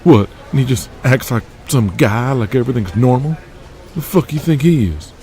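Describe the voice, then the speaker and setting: A man's voice: medium pitch, expressive, moderate pace, darkish tone, a little hiss or hum, pitched above the performer's own voice, close to an everyday voice. A man voicing a brash, crude, muscle-bound wrestler from Texas in an audio drama. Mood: incredulous.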